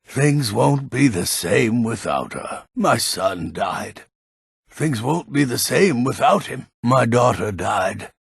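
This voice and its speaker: An actor playing a grumpy old male villager who keeps a potion shop in a fantasy video game, with a gravelly, husky voice and a gruff manner. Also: mid-range voice, expressive, takes it slowly, no room sound, clean studio sound, exaggerated voice. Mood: mournful. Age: older. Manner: gruff, curmudgeonly. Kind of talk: video game character lines.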